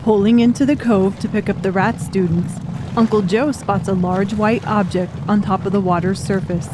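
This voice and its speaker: A woman's voice: medium pitch, steady tone, moderate pace, noisy background. A woman reading scripted, dramatic story narration as an audiobook narrator.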